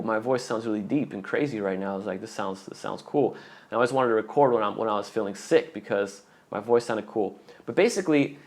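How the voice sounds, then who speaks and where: A young man coaching rap on a live stream, answering viewer questions aloud in a casual way. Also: medium pitch.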